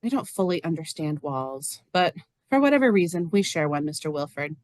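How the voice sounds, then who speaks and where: A woman's voice: low-pitched, big pitch swings, brisk, a little mellow, no echo, studio quality. A woman playing a plain-spoken, lonely, literal-minded farm neighbor in a stage reading, earnest and matter-of-fact. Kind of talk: stage reader's theater acting.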